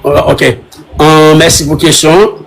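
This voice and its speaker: A Haitian man whose first language is Creole speaks halting French. He answers questions in a live online conversation, repeating himself often and slipping into Creole words. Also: fairly high pitch, fairly even intonation, creaky, faint music behind. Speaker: interviewee.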